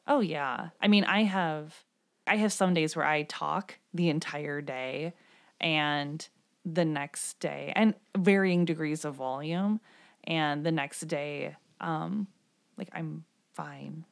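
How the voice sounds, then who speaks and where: A woman's voice: low-pitched, slow, creaky. A woman co-hosting a podcast, chatting casually about a show with filler words and self-deprecating asides. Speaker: podcast co-host. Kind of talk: casual chat.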